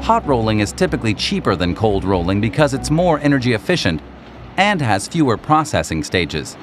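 A man reading scripted documentary narration in a measured, explanatory manner. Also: on the low side, big pitch swings, music in the background.

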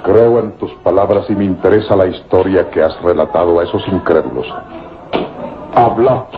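Man acting a deep, menacing desert bandit chief. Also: normal speed, natural voice. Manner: commanding, threatening. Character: menacing, cruel Bedouin bandit chief, a villain. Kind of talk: old radio serial acting. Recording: muffled old radio recording with music.

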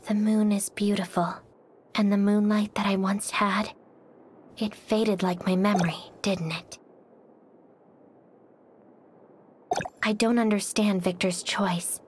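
A woman voicing a cold, reserved, melancholy young swordswoman for a video game, speaking softly and breathily in a quiet, detached, formal manner. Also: medium pitch, slight background noise, close to an everyday voice. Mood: wistful. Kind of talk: scripted game character voice lines.

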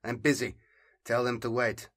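A man's voice: medium pitch, level tone, normal speed, fairly bright tone, close to an everyday voice. A man voicing an earnest, diligent male scribe in an audiobook, with precise, hesitant manners. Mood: irritated.

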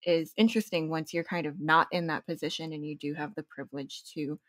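A woman who runs her own business talks as a podcast guest, reflective and quick to laugh between thoughts. Her voice is fairly low and the recording is dry and close. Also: steady tone, a bit bright, no echo. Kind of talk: casual interview chat.

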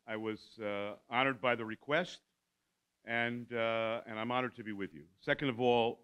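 An older man giving an informal keynote talk at a lectern in a school hall, halting, with many fillers and restarts and wry asides. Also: mid-range voice, a bit bright, smooth. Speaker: keynote speaker. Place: school hall with a seated audience. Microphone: podium mic, standing at lectern.

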